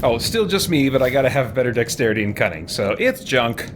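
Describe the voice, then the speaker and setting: A man's voice: mid-range voice, big pitch swings, fairly quick, noisy background. A man giving casual let's play commentary, musing aloud with mild folksy exclamations. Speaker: gaming video host. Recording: loud game music underneath.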